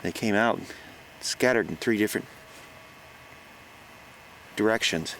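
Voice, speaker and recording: An actor playing a frightened reporter recording himself on a secret night stakeout in a horror audio drama, halting and stammering. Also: male voice, on the low side, a bit bright. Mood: horrified, disbelieving. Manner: halting, stammering, gasping exclamations. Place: outdoors on a farm. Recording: noisy outdoor location recording.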